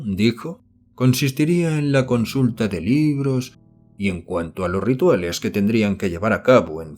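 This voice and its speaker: A man's voice: fairly low pitch, a little mellow. Man narrating a horror audiobook. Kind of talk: scripted narration of a horror novel.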